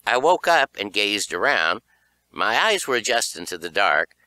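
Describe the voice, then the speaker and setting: A man narrating a children's horror audiobook in an expressive storytelling style. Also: fairly low pitch, a little slow, dry recording.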